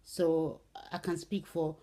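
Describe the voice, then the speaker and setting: A middle-aged woman from Brazil, speaking casually to camera with many "um"s and "okay"s, in an unhurried way. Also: deep voice, flat delivery, dark tone. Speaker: vlogger. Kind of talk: casual spoken commentary to camera.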